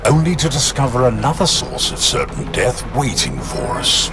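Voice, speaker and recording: A man's voice: medium pitch, moderate pace, natural voice. A man playing an irritable veteran tech marine in a video game, grumbling with dry sarcasm. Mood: sardonic. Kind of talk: dramatic video game acting. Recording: loud music and machinery behind the voice.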